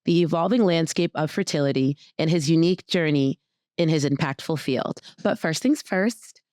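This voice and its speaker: A woman's voice: low-pitched, moderate pace, a little mellow, no echo, studio quality. A woman hosting a podcast, introducing her guest and asking curious, playful questions. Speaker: podcast host. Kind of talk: casual interview.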